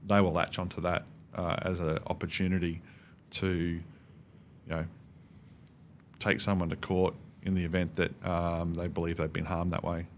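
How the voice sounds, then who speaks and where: A middle-aged Australian man giving measured, explanatory answers as an expert guest in a conversational interview. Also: deep voice, little pitch movement, a little hiss or hum. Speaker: podcast guest, cybersecurity expert.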